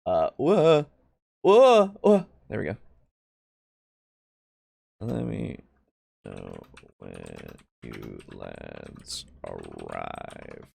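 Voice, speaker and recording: Animated, creaky-voiced man chatting on stream. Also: a bass voice. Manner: halting, self-deprecating, jokey asides. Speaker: host of a tabletop roleplaying livestream. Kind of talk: casual livestream chat.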